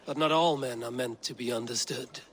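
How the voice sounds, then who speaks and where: An actor playing a blunt, loyal Viking raider in a video game, grave and restrained. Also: male voice, medium pitch, a little fast, a bit bright, close to an everyday voice. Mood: measured, solemn. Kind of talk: dramatic video game acting.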